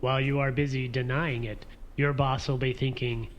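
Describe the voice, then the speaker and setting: A man's voice: medium pitch, little pitch movement, normal speed, lo-fi sound, a little hiss or hum, close to an everyday voice. A man playing a smug, needling partner in a radio play, taunting in a theatrical way. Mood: scheming.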